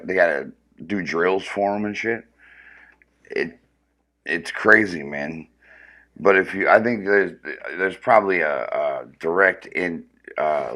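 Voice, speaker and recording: Deep-voiced man ranting profanely. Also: fairly bright tone. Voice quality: powerful voice. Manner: profane, rambling, restarts often. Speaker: comedian livestreaming. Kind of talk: casual comedic rant.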